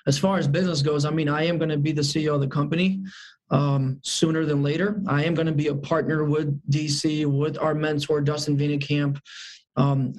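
A man's voice: on the high side, a little mellow, poor audio. A man of thirty, a sales manager as guest, talking about his goals in an upbeat, emphatic way and repeating phrases for emphasis. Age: 30s. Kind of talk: casual interview chat.

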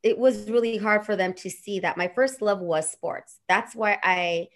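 A woman in her thirties with a Los Angeles accent, chatting as a podcast guest, bubbly and quick to laugh, rambling through asides. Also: mid-range voice. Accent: Los Angeles regional. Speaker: radio and TV personality, guest. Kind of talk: casual podcast chat.

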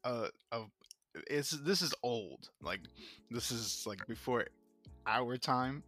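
A man co-hosting a casual podcast, relaxed and quick to laugh, often chiming in with short replies. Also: mid-range voice, faint music behind. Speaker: podcast co-host.

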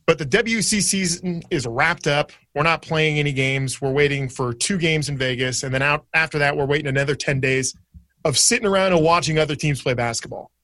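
A man's voice: fairly high pitch, a little fast, sharp tone, dry recording. A man co-hosting a casual sports podcast, chatty and jokey as he steers the segments. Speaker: podcast co-host.

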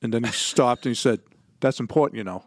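A man's voice: medium pitch, quick pace, no echo, clean studio sound. A middle-aged man from the Boston area co-hosting a podcast in casual, plain-spoken, profane chat. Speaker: podcast co-host.

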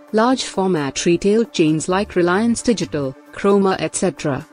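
A woman's voice: on the low side, mellow. A woman with an Indian accent reads a scripted news bulletin as its news reader.

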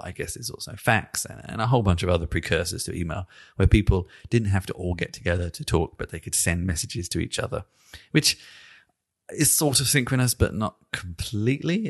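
A man's voice: low-pitched, creaky, breathy edge. A man hosting a podcast in a conversational, musing and wry manner, often hedging as he goes. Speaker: podcast host and interviewer.

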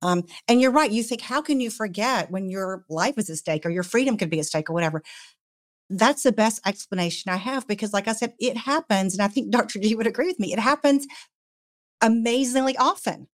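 A woman speaking as a psychologist guest, explaining things conversationally and in a measured way on a panel. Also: mid-range voice, expressive.

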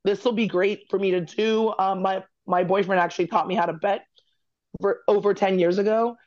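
A woman guest in a casual interview, talking candidly in a chatty, quick way. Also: medium pitch, fairly even intonation, darkish tone, highs cut off. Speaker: podcast guest, former college basketball player.

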